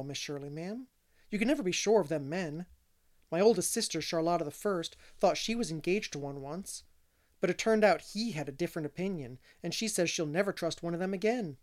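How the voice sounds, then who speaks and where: A man voicing an excitable young servant girl who is wide-eyed and gossipy, with breathless, folksy, ungrammatical speech in a storybook reading. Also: high-pitched, close to an everyday voice.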